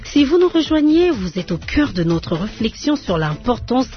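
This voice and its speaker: A woman's voice: a little high, moderate pace. A woman hosting a radio magazine, presenting in a scripted, announcing manner. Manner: lively, announcing. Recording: muffled radio broadcast with music underneath.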